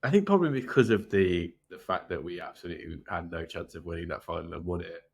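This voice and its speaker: A low-voiced man chats as co-host of a football podcast. He sounds stuffed up and nasal, and talks in a laid-back, self-deprecating way. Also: quick pace, gravelly, husky, muffled recording. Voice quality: nasal, congested. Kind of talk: casual chat on a football podcast.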